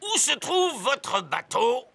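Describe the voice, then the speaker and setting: Piercing, gravelly old dog skipper. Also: male voice, very high-pitched, very animated, takes it slowly, sharp tone, full character voice. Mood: teasing. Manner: hearty, teasing. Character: hearty old grandfather dog skipper, teasing, children's cartoon.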